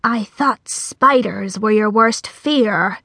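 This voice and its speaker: A woman's voice: mid-range voice, pushed and bright, husky, no room sound, clean studio sound, full character voice. A woman voicing a sinister female giant spider with a ghostly, hissing, whispery voice and taunting menace. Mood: hissing threat. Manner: slow, drawn-out, menacing taunts.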